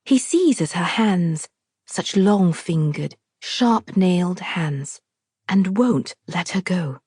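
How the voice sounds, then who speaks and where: A woman reading a novel aloud as a scripted literary narration, in a measured, deliberate, expressive storytelling manner. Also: fairly low pitch, breathy edge, no echo, clean studio sound. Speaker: author narrating her own audiobook.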